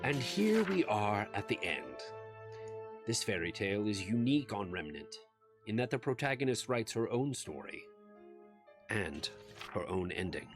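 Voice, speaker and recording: A solemn, wise storyteller narrates a fairy tale in a lowish voice. He takes his time with every phrase, grave and measured, over clearly audible music. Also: big pitch swings. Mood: grave, reflective. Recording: music behind the voice.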